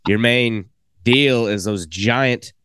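An eager man hosting a relaxed podcast chat, his mid-pitched voice recorded close and dry with no room sound. He talks with enthusiasm, rambling and restarting his sentences as he goes. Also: slow, clear, resonant tone, dry recording. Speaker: podcast host. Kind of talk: casual podcast interview.

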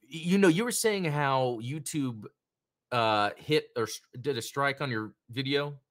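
A man's voice: mid-range voice, a little slow. A man hosting a casual hobby talk show, rambling with lots of fillers and restarts. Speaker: podcast host.

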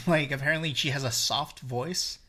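Bright, stammering podcast co-host. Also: mid-range voice. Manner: halting, stammering restarts, laughs along. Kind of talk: casual movie review chat.

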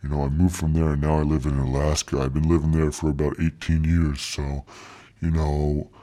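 A man playing a laid-back, boastful voice-over guy with a thick deep voice and slangy drawl, in a comic podcast interview. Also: level tone, moderate pace, dark tone. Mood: cool, boastful. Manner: drawling slangy swagger, punctuated with "dog". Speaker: podcast guest and voice-over artist.

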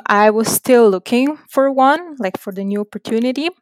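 A woman's voice: on the high side, moderate pace, no room sound. A young woman from Slovenia, a native Slovenian speaker, chatting casually as a podcast guest. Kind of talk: casual chat.

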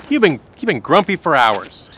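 A man's voice: on the high side, noisy background. A man playing a patient, courteous young male adventurer in a fantasy audio drama, speaking hesitantly and placatingly.

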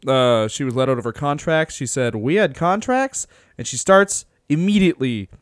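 Podcast co-host, male, smooth clear tone, dry close sound, laughs a lot. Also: medium pitch, normal speed, no echo. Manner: laughs often, animated storytelling. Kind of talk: casual podcast chat.

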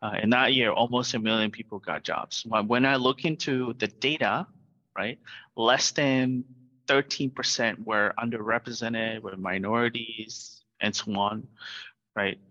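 Brief: middle-aged man from Vietnam, lower pitch, bright forceful tone, lo-fi call audio, earnest founder guest. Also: fairly even intonation, measured pace. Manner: earnest, keeps adding "right?". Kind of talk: remote interview. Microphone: video call.

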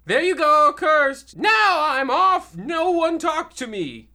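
Haggard old witch, slow drawn-out delivery, wildly swinging pitch, cranky, theatrical, comic radio play. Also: very animated, pushed and bright, full character voice. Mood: menacing, gloating.

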